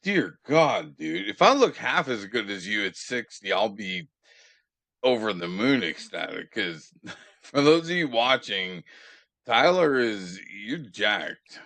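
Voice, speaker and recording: A man's voice: mid-range voice, very animated, slow pace, creaky, sharp tone, no room sound. A man hosting his own interview show, asking questions in a casual, enthusiastic and blunt way, swearing freely. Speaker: interviewer and channel host.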